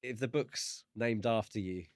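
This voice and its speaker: A man co-hosting a podcast, talking through a book casually in thoughtful, halting phrases. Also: on the low side, no echo, clean studio sound. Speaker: podcast co-host.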